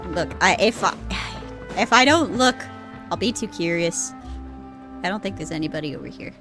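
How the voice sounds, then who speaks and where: A woman's voice: medium pitch, expressive, unhurried, pauses often, fairly bright tone. A young woman streamer chatting casually over her game, swearing often and laughing at her own slip-ups, on a desk mic. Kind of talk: casual gameplay commentary. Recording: game music playing behind.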